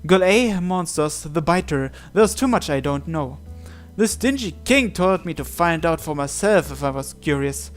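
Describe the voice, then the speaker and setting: A man's voice: a little high, expressive, moderate pace, hardly any pauses. A man reading a visual novel aloud as a gaming host, acting out the text and adding his own asides. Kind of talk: dramatic read-aloud with asides. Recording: music behind the voice. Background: game music.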